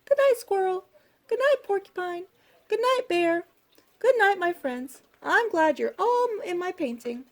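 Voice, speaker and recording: Woman voicing an earnest little mouse, very high-pitched. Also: lively intonation, unhurried, heavily acted. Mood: contented. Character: small female mouse, earnest young painter, insistent.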